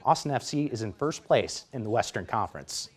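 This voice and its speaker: An American man working as a sports reporter, reading scripted highlight narration with energetic play-by-play delivery. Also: fairly quick.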